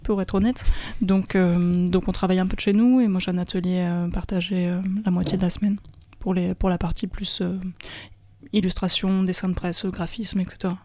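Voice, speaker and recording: Mellow-voiced young woman, dry and close. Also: on the low side, fairly quick, dry recording. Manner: relaxed, explanatory with soft laughs. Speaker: band member, interview guest. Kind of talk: casual radio interview.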